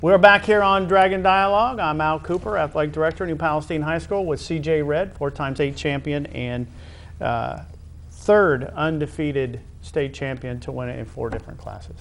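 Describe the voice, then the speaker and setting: A man's voice: on the high side, moderate pace, creaky, a bit bright, slight background noise. A middle-aged American man hosting a conversational sports interview, speaking emphatically and putting questions to his guest. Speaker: talk show host, high school athletic director.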